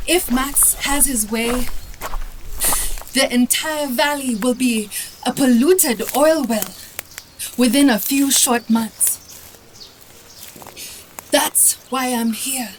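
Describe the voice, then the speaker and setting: A woman playing a grave, defiant whistleblower on the run in an earnest, deliberate motion comic drama. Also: sits high, slight background noise. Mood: grave, resolute.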